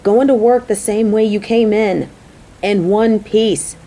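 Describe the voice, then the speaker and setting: Woman acting caring, motherly coworker, soft mellow voice. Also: medium pitch, takes it slowly. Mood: worried, caring. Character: caring, motherly female warehouse coworker, smitten and fretful. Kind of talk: scripted romantic audio roleplay spoken to the listener.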